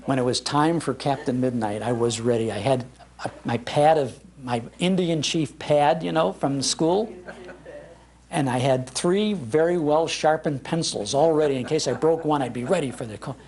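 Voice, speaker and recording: An older man with an American accent giving a talk on old-time radio, telling humorous anecdotes in an animated way and acting out the lines he quotes. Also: medium pitch, normal speed. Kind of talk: humorous anecdotal storytelling to a live audience. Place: public library talk.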